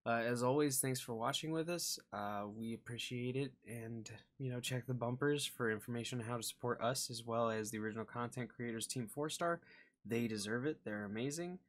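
A man's voice: mid-range voice, a little fast. A young man giving casual commentary as a reaction video host, indoors in a small room.

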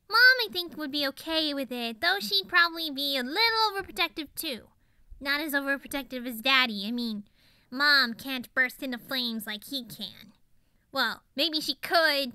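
A woman's voice: sits high, bright, forceful tone, dry recording, heavily acted. A woman voicing a zany, mischievous fifteen-year-old girl pony in a cartoon monologue, chatty, rambling and emphatic. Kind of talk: improvised cartoon character monologue.

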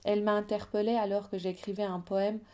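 A woman from Iran, Persian her first language, reads a calm, reflective voice-over narration. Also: mid-range voice, a little fast.

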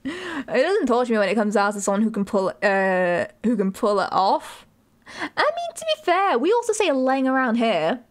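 A young woman from the north of England with a softened northern accent, chatting casually and giggling as a streamer while she plays and reads chat aloud. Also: on the high side, big pitch swings, moderate pace. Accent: Northern English, softened. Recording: game music behind the voice.

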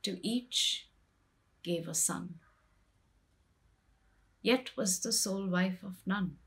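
A middle-aged woman voicing a wronged, bitter and sorrowful mythical queen in a measured, deliberate dramatic poetry recitation with hushed phrases. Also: mid-range voice. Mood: solemn, bitter. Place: small plain room indoors.

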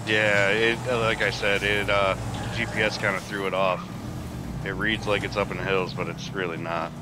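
Low-voiced man over loud vehicle noise. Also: little pitch movement, moderate pace. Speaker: role-play gamer playing a civilian homeowner. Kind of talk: casual improvised game role-play. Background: loud game vehicle noise.

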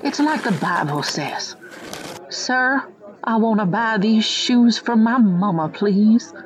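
A woman's voice: fairly high pitch, lively intonation, slow pace. Woman acting a preachy, folksy small-town matriarch. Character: pious, nostalgic small-town matriarch of rigid views. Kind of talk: satirical radio play acting.

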